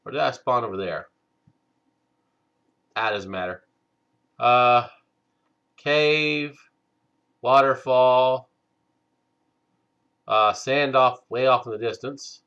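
A man hosting a game let's play, stuffed up from a cold, talking slowly with many hesitant fillers. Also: medium pitch, sharp tone, clear, resonant tone, dull audio. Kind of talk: casual gameplay commentary.

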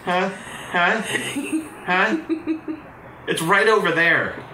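A man hosting a casual let's play, joking in a crude, sarcastic, animated way as he plays. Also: sits high, drawn-out words, far from the mic, noisy background. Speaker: host playing a video game. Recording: roomy echo, game music behind. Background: video game music.

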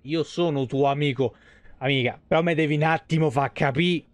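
A man's voice: a little high, normal speed. A man chats casually on a game stream. He jokes and swears freely, and the sound is close and dry with no room echo. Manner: foul-mouthed, joking. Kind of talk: casual live-stream commentary. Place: small home room, indoors.

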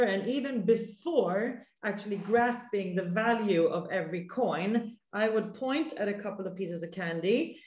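A woman in her forties telling a childhood story on a panel over a video call, animated with her hands. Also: mid-range voice, roomy sound. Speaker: panelist, TV show host. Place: room with posters, indoors. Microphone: laptop video call.

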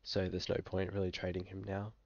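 Young Aussie bloke, under the weather, flat and low, fry in the voice, dull webcam sound; relaxed, hesitant delivery. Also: male voice, fairly quick, dry recording. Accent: Australian. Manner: laid-back, frequent fillers, hesitant. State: sick, occasional coughing. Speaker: fantasy football vlogger. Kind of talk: casual screen-share commentary. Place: small room indoors.

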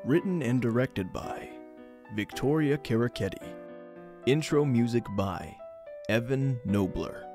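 A man's voice: mid-range voice, expressive, measured pace, over music. A man reading scripted credits as an announcer.

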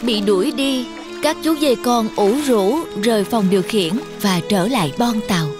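A woman's voice: on the high side, normal speed, over music. A woman reading scripted narration for a children's fairy tale. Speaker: storyteller, narrator.

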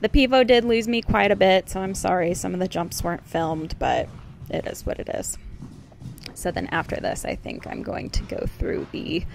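A woman talks through a casual vlog in a chatty, affectionate way. Her voice sits at a middling pitch with plenty of creaky fry. There is noticeable background noise behind her. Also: normal speed. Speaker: horse rider and vlogger.

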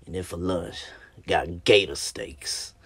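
A man's voice: low-pitched, takes it slowly, slightly rough, no echo, full character voice. A man voicing a folksy Southern man with a mock Mississippi accent, drawling about food. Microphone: phone front camera at arm's length.

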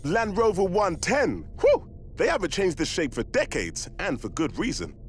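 A man's voice: high-pitched, wildly swooping pitch, normal speed. A man playing a cocky, playful street racer with swaggering banter in a video game scene. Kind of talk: video game cutscene acting. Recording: loud car noise behind the voice. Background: vehicle noise.

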